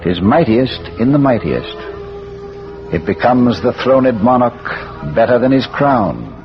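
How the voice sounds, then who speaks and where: Gently wry academic sleuth for 1940s radio: animated, measured, literary, on a dull vintage recording with music. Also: male voice, mid-range voice. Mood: solemn, reflective. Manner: hesitant, erudite, measured, given to recitation. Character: bearded scholarly schoolmaster and amateur sleuth, gently wry.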